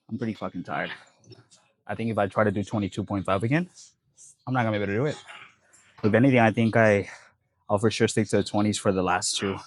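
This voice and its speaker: A young man vlogging between sets at the gym, stuffy-nosed and sniffling, talking in a laid-back, rambling way with lots of fillers. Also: fairly low pitch. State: congested, sniffling. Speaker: fitness vlogger. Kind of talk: casual gym vlog talk.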